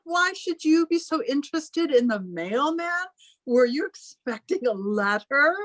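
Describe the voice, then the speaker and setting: A commanding, graying elder sister from an old radio drama, her high voice measured and reproachful. She speaks formally and possessively, in a very dry, close sound. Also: lively intonation, dry recording. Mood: suspicious, scolding.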